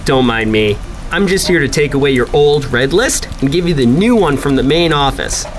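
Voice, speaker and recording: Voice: medium pitch, lively intonation, moderate pace, close to an everyday voice. An actor playing a breezy, cheerfully dismissive office manager in an audio drama.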